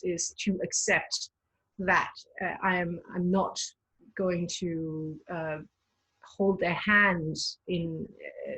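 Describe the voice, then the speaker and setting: Breathy Danish-native woman guide. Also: lowish voice, rough recording. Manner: hesitant, restarts often, laughs lightly. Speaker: tour guide and performer. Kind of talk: conversational interview.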